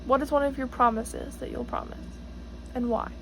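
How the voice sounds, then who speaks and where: A woman reading a picture book aloud to children in an encouraging, teacherly way, pausing to address them directly with questions. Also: high-pitched, steady tone, vocal fry, background noise. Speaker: storybook reader for children, student teacher.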